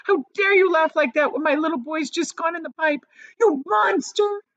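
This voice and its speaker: A woman's voice: very high-pitched, moderate pace, a bit bright, no room sound, muffled recording, voice pushed up. A woman reading a storybook and voicing a hysterical, bossy, outraged mother who shrieks her demands indignantly.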